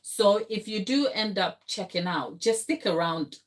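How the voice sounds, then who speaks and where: A woman's voice: medium pitch, expressive, measured pace. A woman from Ghana hosting a live cake tutorial from her kitchen, explaining steps and answering viewers in the chat. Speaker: baking channel host. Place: home kitchen.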